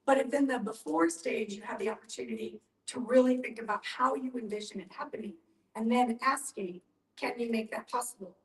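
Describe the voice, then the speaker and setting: A woman's voice: fairly high pitch, fairly quick. A woman giving conversational advice in a group discussion, explaining in an emphatic, organized way. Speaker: discussion participant. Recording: echoey room, distant from mic.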